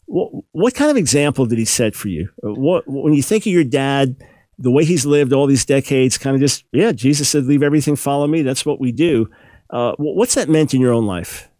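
A man's voice: medium pitch, expressive, a little mellow, no room sound. Male talk radio host, studio, dry close sound, friendly interviewer tone. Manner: friendly, quick follow-up questions.

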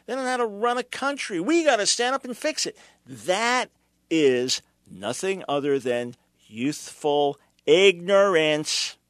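A man's voice: high voice, expressive, a little slow, a bit bright, no echo. Older guy host, preachy and emphatic, dry close mic. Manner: emphatic, preacherly, mocking at times. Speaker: radio show host. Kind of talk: commentary.

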